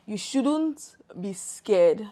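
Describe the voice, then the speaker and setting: A woman's voice: mid-range voice, lively intonation, extremely slow, dark tone. A young Nigerian woman co-hosting a casual podcast, chiming in and trailing off mid-thought. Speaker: podcast co-host. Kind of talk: casual chat between best friends.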